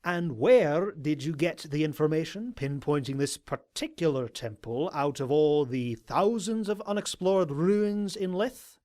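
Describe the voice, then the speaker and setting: A man's voice: a little high, unhurried, few pauses, a little mellow, close to an everyday voice. A man voicing a grizzled, haughty old male unicorn scholar in an audio drama, with a pompous, sarcastic, theatrical delivery. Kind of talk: animated audio drama character voice.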